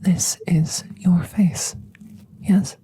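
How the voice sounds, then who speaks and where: A woman playing a curious, clinical alien scientist in an ASMR roleplay, speaking softly and formally at a slow, measured pace, close to the mic. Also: lowish voice, fairly even intonation, poor audio. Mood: fascinated, hushed. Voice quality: soft, often whispered close to the mic. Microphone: close microphone.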